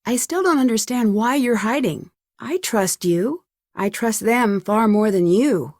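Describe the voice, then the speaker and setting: A young American woman voicing an earnest, curious and polite amateur detective in an adventure game, with clearly enunciated lines. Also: fairly high pitch, animated, a little slow, a little mellow, dry recording, clean studio sound. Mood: probing. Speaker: voice actor for a game's detective heroine. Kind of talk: scripted adventure game dialogue.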